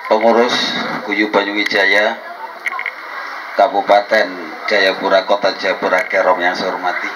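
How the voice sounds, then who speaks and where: Deep flat-voiced man giving formal speech. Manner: measured, formal greetings with light joking. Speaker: speaker at a community gathering. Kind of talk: formal speech with religious opening. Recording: noisy event recording.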